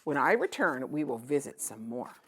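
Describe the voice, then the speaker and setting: A woman voicing a kind, weary king disguised as a merchant in a children's storybook, speaking in a gentle, fatherly manner. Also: very deep voice, very animated, normal speed, slightly rough, heavily acted. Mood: kindly. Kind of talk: children's storybook read-aloud.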